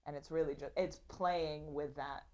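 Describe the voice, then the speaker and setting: Chatty woman from Russia, lower voice. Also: steady tone, normal speed. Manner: rambling, lots of "like", acts out quotes. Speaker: comedian guest. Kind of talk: casual podcast interview.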